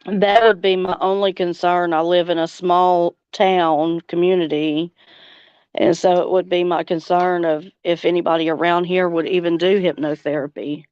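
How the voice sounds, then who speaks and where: Low, smooth-voiced woman, slightly muffled. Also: little pitch movement, normal speed, a little mellow, no echo. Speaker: host of a live chat stream. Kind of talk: casual livestream chat.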